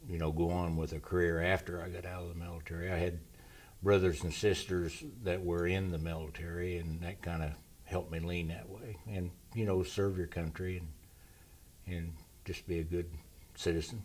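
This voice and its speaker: Deep, flat-voiced grandfather from Indiana. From Indiana, USA. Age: older. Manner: slow and measured, pauses to recall. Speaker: interview guest, military veteran. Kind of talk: relaxed reminiscing interview.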